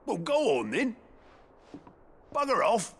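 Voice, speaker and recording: An actor with a British accent playing a gruff but kindly plain-spoken man in a video game, blunt and colloquial, with some noise behind him. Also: high voice, wildly swooping pitch, takes it slowly, fairly bright tone, full character voice. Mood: blunt, caring. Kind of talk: dramatic video game acting.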